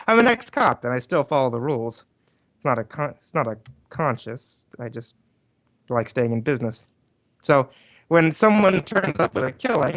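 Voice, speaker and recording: A man's voice: mid-range voice, fairly bright tone, natural voice. Man playing a wry, laid-back private eye. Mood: narrating, wry. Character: wisecracking, flirtatious male private detective, ex-cop. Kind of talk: 1940s detective radio drama. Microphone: livestream performance.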